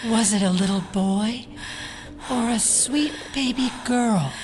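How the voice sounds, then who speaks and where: An actress playing a menacing, fanatical cultist killer in an audio drama, taunting and condescending. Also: female voice, fairly high pitch, slow, poor audio. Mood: sinister, taunting. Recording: voice under loud dramatic music. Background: loud suspense music.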